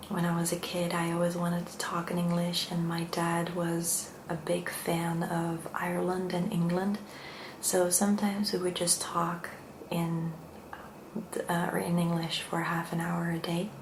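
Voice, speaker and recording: A Dutch woman with a Dutch accent, speaking English as a second language in a gentle, hushed, soft-spoken ASMR style as she hosts. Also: on the low side, monotone, measured pace, echoey room, background noise. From Netherlands. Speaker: ASMR video host.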